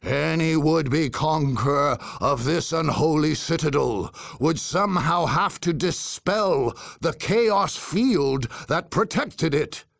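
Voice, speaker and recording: A man playing a grave storyteller, reading dramatic scripted narration for a dark war game over music. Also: a little high, slow pace, gravelly, hardly any pauses, no room sound, studio quality, exaggerated voice. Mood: grave, ominous. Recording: music behind.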